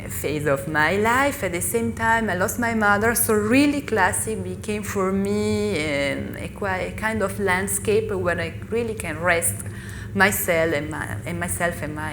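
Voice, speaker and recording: A woman in her thirties from Italy, with an Italian accent and Italian as her first language, speaking haltingly as a panelist at a live discussion. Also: lowish voice. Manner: halting, searching for English words. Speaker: panelist, author. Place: festival venue. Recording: live panel recording with noise.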